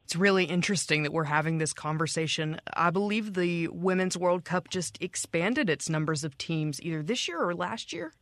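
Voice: mid-range voice, a bit bright, no echo, clean studio sound. A radio host asking a guest curious, conversational questions. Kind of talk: interviewing a guest.

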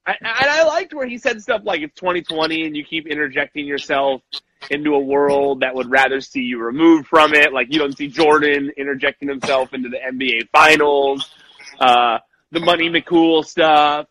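A young man co-hosting a casual wrestling podcast, animated and quick to act out lines he quotes. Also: on the high side, rough recording. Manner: animated, acts out quotes in voices. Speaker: podcast co-host.

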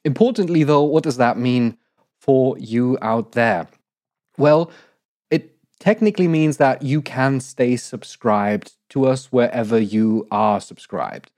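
A man in his thirties from Germany co-hosting a podcast, his voice slightly croaky from recovering from an illness, talking in an articulate, measured way and laughing often. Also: mid-range voice, a little mellow, dry recording, studio quality. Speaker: podcast co-host. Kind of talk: casual podcast announcement.

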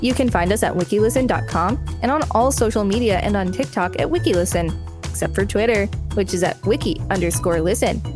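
A woman co-hosting a podcast, reading an article aloud and chatting casually. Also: mid-range voice. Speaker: podcast co-host.

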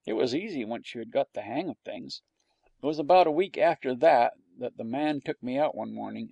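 A man's voice: mid-range voice, vocal fry, dark tone, no room sound, lo-fi sound. A man reading a storybook aloud in a wry storytelling manner. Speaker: audiobook narrator.

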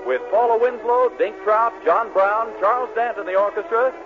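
A man's voice: high-pitched, steady tone, normal speed, music in the background. A man announcing and narrating a 1940s radio comedy in a polished, emphatic delivery. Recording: tinny old radio broadcast with orchestra.

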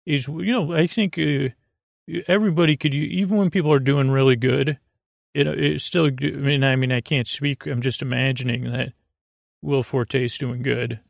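Male bedtime-podcast host: creaky fry, dry sound, low-key meandering delivery with unfinished thoughts. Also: steady tone, no room sound. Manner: meandering, halting, trailing off mid-thought. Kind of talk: rambling, casual bedtime monologue.

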